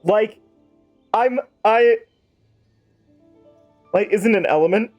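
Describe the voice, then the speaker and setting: High-voiced man rambling on a game stream. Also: takes it slowly, darkish tone. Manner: rambling, chatty. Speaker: livestreamer. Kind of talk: casual game stream commentary with playful role-play. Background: game music.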